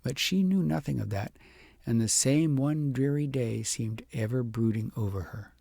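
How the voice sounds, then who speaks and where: A man reading a fairytale audiobook in a dramatic, deliberate storytelling manner. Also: mid-range voice, vocal fry, mellow, no room sound. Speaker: audiobook narrator. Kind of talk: expressive scripted fairytale narration.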